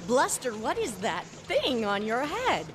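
A woman's voice: fairly high pitch, wildly swooping pitch, takes it slowly, loud music behind the voice, full character voice. A woman voicing a sassy, unimpressed young female ape in a cartoon, dry and dismissive. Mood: scornful. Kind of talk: animated cartoon acting.

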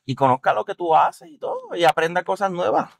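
A man's voice: high-pitched, big pitch swings, a little fast, creaky, dry recording. A man hosting a casual, teasing interview inside a car. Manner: playful, teasing, jokey. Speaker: host.

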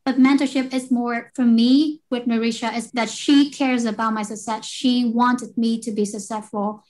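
A woman's voice: fairly high pitch, steady tone, moderate pace, darkish tone. Earnest young woman from Vietnam. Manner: earnest, with small repeats. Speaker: guest, electrical engineer. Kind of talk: interview storytelling.

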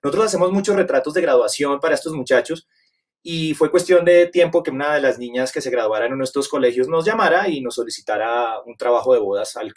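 A Colombian man in his forties with a Bogotá accent, giving an online presentation as a guest photographer, grateful and rambling, with many fillers and restarts, on a webcam video call. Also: medium pitch. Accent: Colombian Spanish, Bogotá. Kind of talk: webinar presentation. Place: home setup on a video call.

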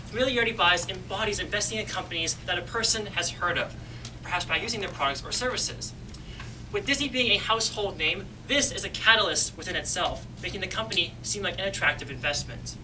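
A woman's voice: lowish voice, brisk. A young woman presenting on stage, engaging the audience with questions and playful asides. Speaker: student presenter. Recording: distant voice with room noise.

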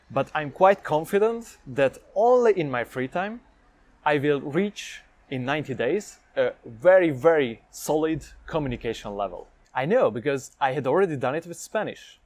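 A young Hungarian man with a Hungarian accent, talking straight to camera in an upbeat vlog about learning a language, speaking energetically and gesturing as he goes, recorded on a lapel mic indoors. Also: a little high. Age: young adult. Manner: energetic, talks with his hands. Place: indoors against a plain light wall. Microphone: lapel mic, medium camera framing.

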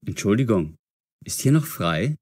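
An actor plays an earnest, naive young man in an audio story. His voice sits on the low side with a husky edge, courteous and animated. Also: normal speed. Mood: polite. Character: polite, eager young man, naive amateur courier. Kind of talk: audio drama acting.

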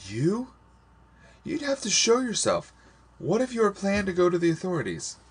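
A man puts on an anguished young woman from a storybook, pitched well above his own voice. She is bitter and defiant, throwing out accusations with raw emotion. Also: sing-song, normal speed, breathy edge, heavily acted.

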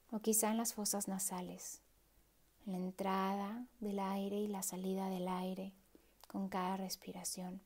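A woman leading a guided meditation in a soft, hushed, breathy voice with pauses. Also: medium pitch.

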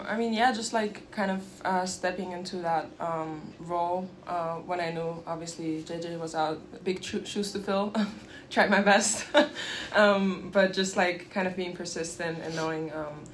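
Shy young woman player, flat and soft. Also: fairly low pitch, moderate pace, slight background noise. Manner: shy, giggly, many fillers. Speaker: basketball player. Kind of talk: postgame press conference answers. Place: press room at a table. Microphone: table mic.

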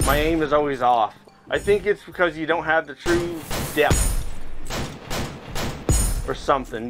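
A man hosting a gaming video, chatting casually to viewers as he plays a VR game. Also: medium pitch. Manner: chatty, talking to viewers while playing. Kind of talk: casual VR gameplay commentary. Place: indoors, playing in a VR headset. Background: game music.

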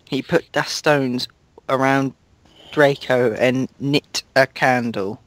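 A man's voice: medium pitch, steady tone, takes it slowly, a bit bright, no echo. A man reading a fan story aloud in a mock-dramatic way, stumbling over words and laughing at the text.

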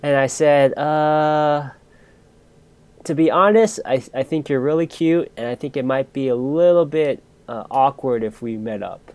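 A man's voice: mid-range voice, measured pace, darkish tone, no room sound. A young man co-hosting a podcast, telling a long casual story with lots of fillers and restarts. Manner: rambling, many fillers and restarts. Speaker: podcast co-host.

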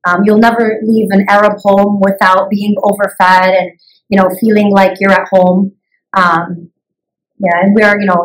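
A woman teacher from the United States answers interview questions thoughtfully, often pausing with "um". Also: mid-range voice, rough recording. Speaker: English as a new language teacher.

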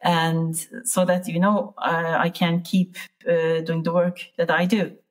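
A woman's voice: fairly low pitch, little pitch movement, a little slow. A woman from Turkey with a Turkish accent, talking casually as an interview guest and often searching for words. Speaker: interview guest, piano teacher.

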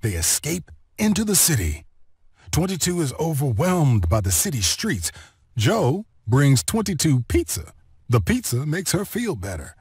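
A man narrating a children's read-along story in an expressive, deliberate storytelling manner. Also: a little high, dry recording, clean studio sound. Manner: expressive, deliberate storytelling delivery with clear phrasing.

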